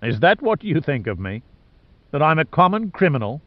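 A man's voice: fairly high pitch, sing-song, normal speed, no room sound, dull audio. A man voicing an arrogant, callous older male tycoon villain in an audiobook, speaking with cold, pompous scorn. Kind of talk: audiobook character dialogue.

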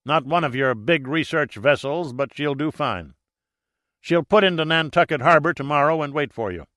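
Audiobook father, performed by a man: older, gruff, wily shipping boss, lifted pitch, bright delivery in dry sound. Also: animated, normal speed, dry recording. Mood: brisk. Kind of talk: audiobook character dialogue.

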